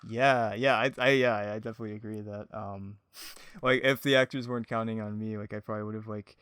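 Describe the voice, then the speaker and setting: A man's voice: fairly low pitch, steady tone, brisk, fairly bright tone, clear, resonant tone, dry recording. A man talking casually as a podcast guest, with a self-deprecating, hesitant way of phrasing things. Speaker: podcast guest, audio drama creator. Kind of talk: casual chat.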